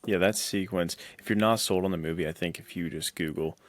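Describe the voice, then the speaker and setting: An American man with a deep voice, recorded close and dry. He chats like a podcast co-host, earnest and conversational, dropping casual slang as he discusses films. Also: brisk, no echo. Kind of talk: casual chat about action films.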